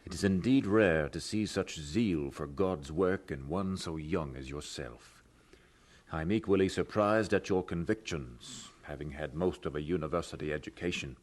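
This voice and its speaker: A man playing a dignified elderly bishop in an audio drama, speaking formally and deliberately with benevolent authority. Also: deep voice. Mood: stately approval.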